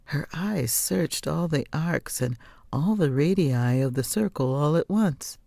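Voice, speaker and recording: A woman's voice: low-pitched, animated, measured pace, gravelly, dark tone, no echo. A woman narrating a scripted first-person novel as an audiobook reader.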